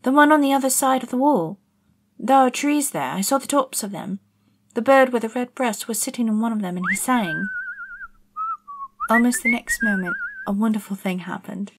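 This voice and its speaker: A woman voicing a lonely, curious girl, breathy. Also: a little high, lively intonation, normal speed. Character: lonely, curious young girl exploring gardens. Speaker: narrator and lead actor in an audio drama. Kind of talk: first-person storybook narration.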